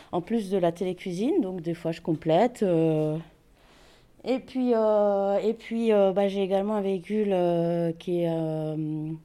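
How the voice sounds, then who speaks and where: A woman from Paris, a volunteer cook, answering interview questions casually and hesitantly, with many pauses. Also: mid-range voice, dark tone. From Paris, France.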